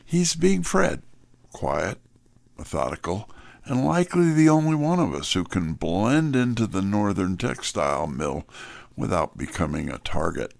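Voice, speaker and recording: A man's voice: sits low, very animated, a little slow, gravelly, darkish tone, dry recording, clean studio sound, heavily acted. A man playing a wry, candid detective's sidekick in first-person scripted narration.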